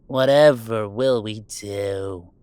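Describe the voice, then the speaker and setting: A man's voice: on the low side, slow pace, a little mellow, smooth, no room sound. A man voicing a playful, self-deprecating werewolf streamer in a one-sided roleplay audio drama, full of teasing banter and dramatic sarcasm. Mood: sarcastic teasing. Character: wisecracking young male werewolf streamer, affectionate and self-deprecating.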